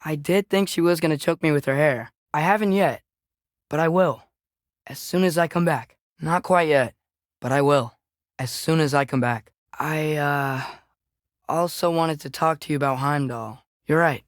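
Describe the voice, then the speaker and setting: A man's voice: a little high, moderate pace, no echo, clean studio sound, close to an everyday voice. A young male actor playing an earnest, restless teenage boy adventurer with an American accent in a video game. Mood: earnest, hesitant. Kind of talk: video game character dialogue.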